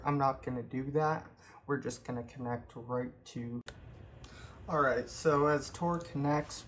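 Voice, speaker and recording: A man hosting a tech tutorial, calmly explaining each step of a computer walkthrough with occasional ums. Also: mid-range voice. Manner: unhurried step-by-step explaining with fillers. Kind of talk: screen-recorded how-to walkthrough.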